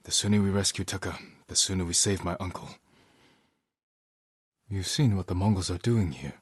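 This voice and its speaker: An actor playing a grave, honor-bound young samurai lord in a video game, speaking in a measured, restrained way with short, solemn sentences. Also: male voice, low-pitched, slightly rough, no room sound, studio quality. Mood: urgent, reassuring.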